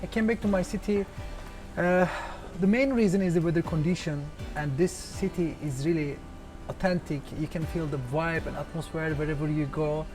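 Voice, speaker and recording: A man with a Turkish accent talks about his home city in simple, halting English. He pauses often, restarts sentences and searches for the right words. Music plays clearly behind him. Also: on the high side, steady tone. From Turkey, Antalya. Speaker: local guest. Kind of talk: casual interview answers.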